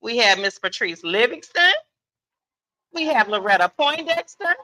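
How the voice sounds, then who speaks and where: A woman's voice: on the high side, big pitch swings, measured pace, sharp tone. A woman hosting a live panel in a casual, playful and commanding way, repeating phrases for emphasis. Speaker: guest moderator and host. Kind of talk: lively unscripted event hosting, casual banter. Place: live podcast panel event.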